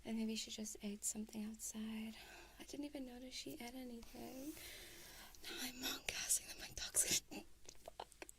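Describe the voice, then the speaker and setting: A woman's voice: a little high, flat delivery, moderate pace, heavy background noise. A young woman whispering to viewers on her ASMR live stream, anxious and flustered, swearing and repeating herself.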